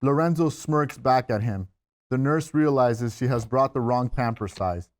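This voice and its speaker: A man's voice: medium pitch, unhurried, a little mellow. Man narrating screenplay stage directions. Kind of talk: scripted screenplay narration at a table read.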